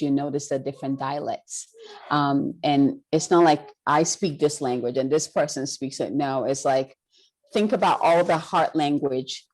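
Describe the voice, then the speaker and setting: Low-voiced woman from Ghana, earnest. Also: darkish tone, rough recording. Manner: earnest, affirming, emphatic. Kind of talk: spiritual conversation.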